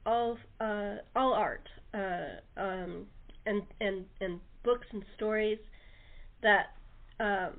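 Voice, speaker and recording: A woman hosting a casual radio chat, rambling and often restarting her sentences as she goes. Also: on the high side. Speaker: radio show host. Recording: muffled narrow-band call-in audio.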